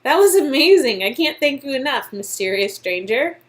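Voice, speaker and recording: A woman's voice: sits high, sing-song, normal speed, pitched above the performer's own voice. A woman reading the part of a sarcastic, wry young aspiring actress in a story game. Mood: grateful, friendly. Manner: wry, exclamatory.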